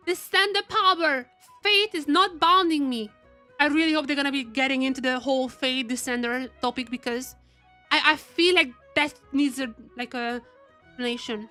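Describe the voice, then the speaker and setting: Female game streamer, high voice, excitable off-the-cuff commentary, music in the background. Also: normal speed. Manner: excitable, exclamatory, thinking aloud. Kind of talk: live gameplay commentary, casual chat.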